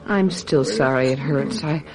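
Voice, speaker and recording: A woman's voice: very deep voice, unhurried, a crowd in the background. A woman playing a trusting, easily swayed wife in a radio mystery drama, yielding and languid in manner. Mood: uneasy, apologetic. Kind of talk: radio mystery drama acting with confiding narration.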